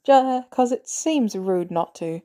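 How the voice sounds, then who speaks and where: A woman voicing a gruff male soldier on the run in an audiobook, speaking bluntly in clipped, urgent phrases. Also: on the high side, animated, takes it slowly, mellow. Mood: strained.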